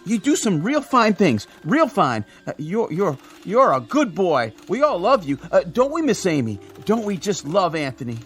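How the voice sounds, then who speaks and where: A nervous small-town delivery man. He stammers and placates at every turn, and his pitch swings widely. There is faint music behind him. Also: very animated, normal speed, few pauses. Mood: frightened, placating. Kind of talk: audio drama acting.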